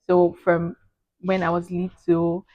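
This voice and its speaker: Lady in her 20s telling her story, pretty flat delivery, laughs a lot. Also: fairly low pitch, slow, dry recording, dull audio. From Eastern Nigeria. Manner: chatty, laughs often, storytelling. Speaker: guest. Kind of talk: casual interview chat.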